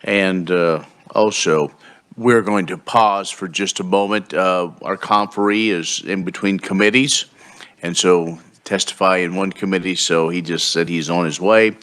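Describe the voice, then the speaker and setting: A man chairing a legislative committee hearing. He runs the hearing in a relaxed, conversational way with some fillers. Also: low voice, unhurried. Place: state legislature committee room.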